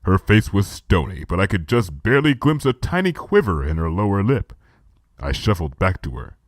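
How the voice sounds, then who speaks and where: Animated male storyteller, dry close sound. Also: sits low, moderate pace, no echo. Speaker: fan fiction narrator and reader. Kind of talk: expressive first-person story narration.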